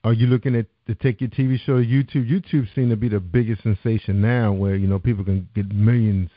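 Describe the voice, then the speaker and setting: A man hosts an internet radio interview, backing up his guest with a steady stream of "that's right" and "yeah". His voice sits fairly low. The recording is dry and dull, with a lo-fi sound. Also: fast talker, no room sound. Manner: encouraging, with frequent affirmations. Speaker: internet radio show host. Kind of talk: casual interview hosting. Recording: dry, muffled lo-fi audio.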